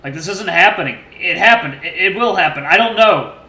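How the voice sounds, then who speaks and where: A man's voice: medium pitch, brisk, bright, forceful tone, roomy sound, natural voice, rough recording. Man playing a weary, haunted furry father. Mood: haunted despair. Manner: ragged, tired.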